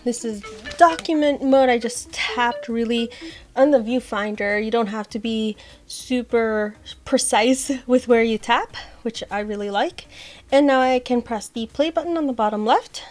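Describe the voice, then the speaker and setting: Higher-pitched woman demonstrating an app. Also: measured pace. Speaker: host of an accessibility tech channel. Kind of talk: casual app demonstration vlog.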